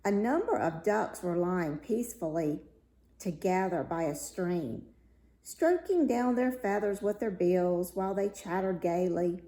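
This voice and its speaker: A woman reading a fairy tale aloud as a storyteller, with deliberate, dramatic phrasing. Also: fairly low pitch, expressive, mellow. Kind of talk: expressive read-aloud fairy tale narration. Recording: roomy, echoey room sound.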